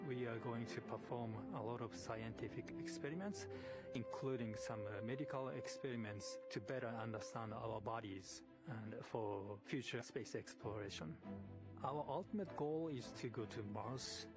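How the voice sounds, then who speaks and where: A middle-aged man from Japan speaking English, an astronaut giving a measured, earnest profile statement. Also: mid-range voice. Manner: measured, earnest, with slow stretched phrases. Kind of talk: prepared personal profile statement. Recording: loud music bed under voice. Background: loud music.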